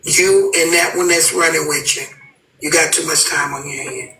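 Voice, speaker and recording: A man's voice: on the high side, steady tone, normal speed, sharp tone. A man on a live stream scolding someone in a blunt, dismissive way. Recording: roomy sound, far from mic, faint noise.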